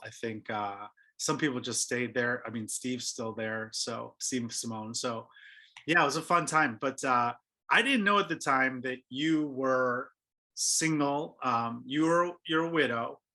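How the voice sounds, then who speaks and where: A man's voice: mid-range voice, sharp tone. A man hosting a casual podcast interview, chatty and asking probing questions. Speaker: podcast host.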